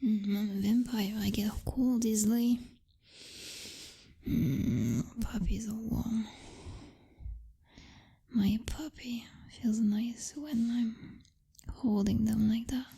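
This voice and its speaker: A woman playing a possessive, jealous vampire girlfriend who is commanding but secretly tender, in a soft, intimate ASMR whisper close to the microphone. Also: on the high side, vocal fry, breathy edge, exaggerated voice. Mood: flustered, affectionate. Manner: slow, teasing, domineering, with lingering pauses and hums.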